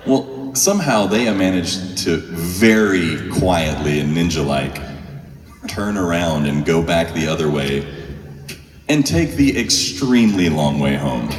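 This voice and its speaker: A man's voice: sits low, expressive, unhurried, background noise. A man telling a wry, humorous story live on stage to an audience, in a colloquial way. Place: large hall, live stage show. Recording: echoey hall, distant, music behind.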